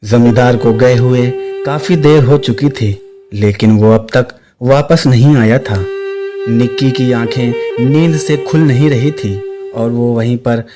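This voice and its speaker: A man's voice: mid-range voice, takes it slowly. A man narrating a scripted suspense story, with music playing behind his voice. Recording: clear music under the voice.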